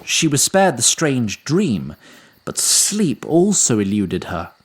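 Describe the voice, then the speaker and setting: Measured man narrating fantasy. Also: medium pitch, big pitch swings. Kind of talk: scripted fantasy story narration. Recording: faint ambient noise.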